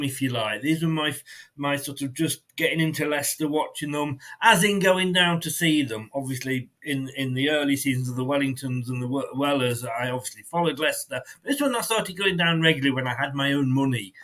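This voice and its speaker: A man with a fairly high voice hosts an interview in a light, joking way. He laughs easily and keeps the talk upbeat while introducing his guest. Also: fairly quick, a bit bright. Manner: jokey and upbeat, laughs often. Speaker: host. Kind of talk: casual interview.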